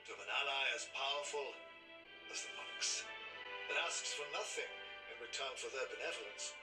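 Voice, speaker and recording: An actor playing a solemn, reverent propaganda broadcaster in grandiose scripted television drama narration. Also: male voice, mid-range voice, wildly swooping pitch, moderate pace, low-quality recording. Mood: grandiose reverence. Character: male propaganda broadcaster praising alien overlords, solemn and reverent. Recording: television playback with loud music.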